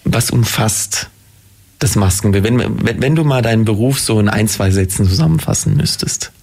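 Low-voiced male interviewer. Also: expressive, moderate pace, creaky, a little mellow, slightly rough. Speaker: interviewer, host. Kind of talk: casual interview.

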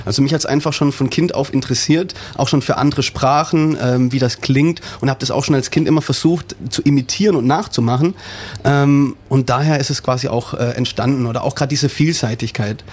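A man's voice: mid-range voice, steady tone, brisk, darkish tone. A man from an improv theater group talking on a radio show and co-hosting it, with many hesitant fillers. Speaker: improv actor and co-host. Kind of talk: radio interview. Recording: radio studio.

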